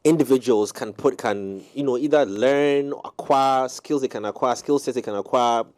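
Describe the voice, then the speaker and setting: A man's voice: medium pitch, normal speed, a little mellow. A man co-hosting a panel talk show, asking long, rambling questions with many restarts.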